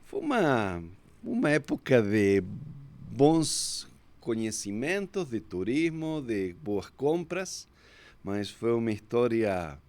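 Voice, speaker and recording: A man in his fifties from Córdoba, Argentina, with a strong Argentine Spanish accent, telling his life story as a podcast guest in a relaxed, reflective way. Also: fairly low pitch. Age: 50s. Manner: unhurried, reflective storyteller, often restarts with "então". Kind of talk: casual storytelling interview.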